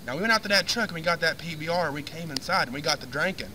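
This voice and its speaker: A man's voice: fairly high pitch, very fast, natural voice. A man playing a crude, drunken redneck storyteller with a brash, profane, drawling Southern US accent in a comic monologue. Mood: gruff, irritated. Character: crude, drunken redneck man spinning rambling foul-mouthed tales. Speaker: storytelling host character. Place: outdoors on a wooden deck in woods. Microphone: camera a few meters away. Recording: distant camera mic with faint outdoor noise.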